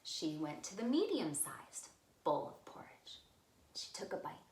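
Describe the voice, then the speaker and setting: Female children's storyteller, very expressive melodic swings, unhurried, recorded in a roomy space. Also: low voice, whispered. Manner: simple, deliberate phrasing addressed to small children. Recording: roomy, echoey room sound.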